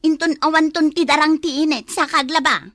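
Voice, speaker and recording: A woman's voice: high voice, fairly even intonation, a little fast, a bit bright, no room sound, studio quality. A woman playing a bossy, nagging wife in an audio drama, scolding and ordering her drinker husband around. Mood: exasperated, angry. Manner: scolding, commanding. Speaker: voice actor in a drama. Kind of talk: Ilocano audio drama acting.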